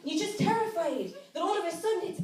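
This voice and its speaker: High-pitched Geordie woman doing live stand-up. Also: animated, fast. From Newcastle area, North East England. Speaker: stand-up comedy performer. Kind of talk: live stand-up comedy monologue. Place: live indoor event with an audience. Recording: echoey room, distant mic, audience noise. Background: audience laughter.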